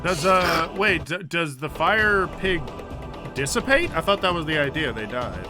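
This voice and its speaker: Man, fairly high pitch, casual jokey game banter, catchphrases, prominent music underneath. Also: expressive, normal speed. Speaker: player in a tabletop roleplaying game. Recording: music playing over the voice.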